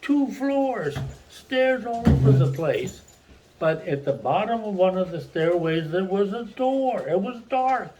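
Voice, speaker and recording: An older man from Mexico whose first language is Spanish, a retired teacher telling a long childhood story to his family in a rambling, animated way. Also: high-pitched, unhurried, a little hiss or hum, poor audio. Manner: rambling, animated storyteller who restarts sentences.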